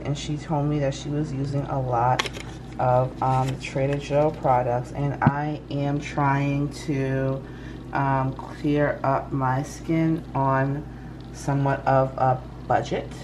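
A woman's voice: a bass voice, flat delivery, slow pace. A young woman chatting casually as a vlogger in her apartment, rambling and correcting herself as she goes. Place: apartment kitchen and living room. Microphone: camera mic from a few feet away. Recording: echoey room, faint room noise.

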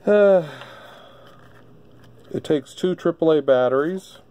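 A man casually demonstrating a product unscripted, thinking aloud in a halting way. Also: fairly high pitch, mellow. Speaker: gadget reviewer. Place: indoor electronics workbench.

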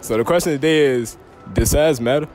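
A man's voice: medium pitch, brisk, loud music behind the voice. A man in his late teens chatting and joking in slang as he hosts casual street interviews in a mall. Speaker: vlog host and street interviewer. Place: shopping mall and stores.

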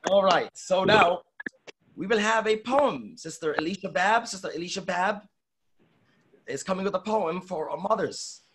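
A man's voice: high voice, moderate pace, a bit bright, slight background noise. A man hosting a church event on an online call, announcing to the congregation. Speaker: host of an online church service.

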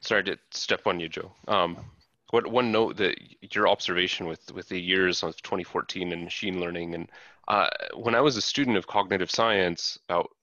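A man's voice: low voice, normal speed, bright, forceful tone, dry recording. A man politely sharing reflective observations during a video call meetup. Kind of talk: casual video call discussion. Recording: dry dull video call audio.

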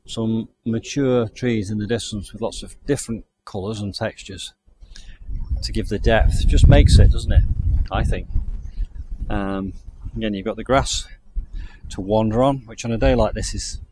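A man's voice: lowish voice, steady tone, a little slow, a little mellow, slight background noise, low-quality recording. A man from the UK giving a chatty, enthusiastic walking tour of a country garden outdoors, recorded on the camera he carries. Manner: chatty, enthusiastic, thinking aloud. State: hot and overdressed while walking. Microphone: handheld camera mic, walking.

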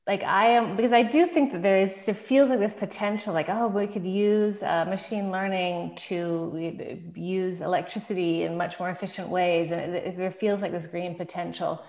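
A woman's voice: lowish voice, steady tone, moderate pace, few pauses, a little mellow. A woman moderating a discussion over a video call, asking thoughtful, searching questions and feeling her way through them. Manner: hesitant, searching, many fillers and restarts. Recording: roomy video-call audio.

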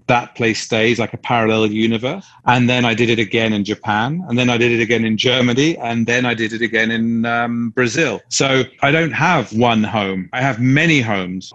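A man born in the UK, reflecting calmly in a documentary interview. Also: medium pitch, muffled recording. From United Kingdom.

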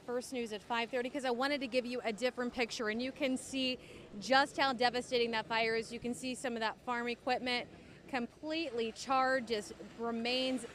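Female TV field reporter, American, thirties, fairly high voice, light outdoor noise. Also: a bit bright. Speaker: TV news reporter. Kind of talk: live field news report. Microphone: handheld reporter microphone.

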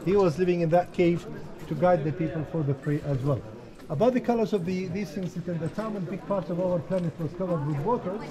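A man's voice: high-pitched, low-quality recording. A man guiding tourists, explaining patiently in simple English. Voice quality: non-native English, simple phrasing. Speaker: tour guide. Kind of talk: guided tour explanation. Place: outdoor desert canyon with tourists. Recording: busy crowd around outdoors.

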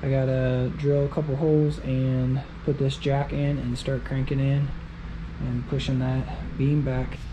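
Flat-voiced man explaining basement repair. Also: mid-range voice, measured pace, highs cut off. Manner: plain-spoken, explains steps as he works. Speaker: DIY home repair host. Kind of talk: casual how-to narration while working. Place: unfinished basement, indoors. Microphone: head-mounted action camera. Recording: noisy basement work site.

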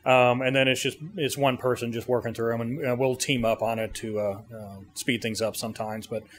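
Middle-aged man, business owner explaining his machine on location; plain, halting delivery, noisy room. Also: medium pitch, level tone. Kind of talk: informal technical explanation, interview answers. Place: indoor 3D printing workshop. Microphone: handheld interview mic held by interviewer.

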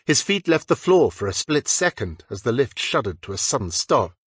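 A man reading fiction as a scripted audiobook narrator, with a husky voice. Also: mid-range voice, big pitch swings, normal speed, fairly bright tone, no echo, studio quality.

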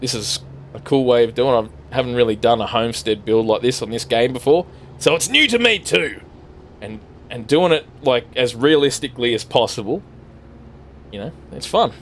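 A man's voice: medium pitch, lively intonation, moderate pace, slight background noise. A man with an Australian accent chatting casually to viewers while playing a farming game, rambling and swearing freely. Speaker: gaming streamer. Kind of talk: casual let's-play commentary while driving.

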